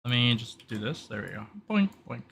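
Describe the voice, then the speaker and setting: A man with a bright, crisp-edged voice at middle pitch acts as game master for a tabletop roleplay. He explains casually and informally, rambling a little as he thinks aloud. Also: very animated, brisk.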